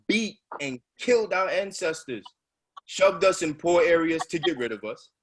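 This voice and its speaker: A man's voice: fairly high pitch, a bit bright, breathy edge. A man playing a fervent, streetwise activist in a stage reading, speaking in an emphatic, oratorical way. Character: fervent streetwise male activist preaching to subway riders. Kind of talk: readers theatre stage monologue.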